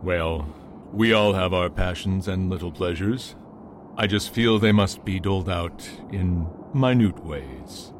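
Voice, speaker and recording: A man playing a curious friend who gently teases the priest and asks questions, in an amateur radio drama. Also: deep voice, measured pace, a little hiss or hum. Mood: teasing. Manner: gently teasing, inquisitive.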